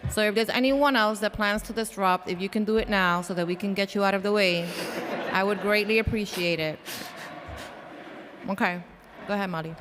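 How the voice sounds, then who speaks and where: A woman's voice: medium pitch, brisk, fairly bright tone. A woman chairing a public hearing in a large council chamber, her voice a little scratchy, talking casually with restarts and fillers. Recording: hall reverb, faint room noise.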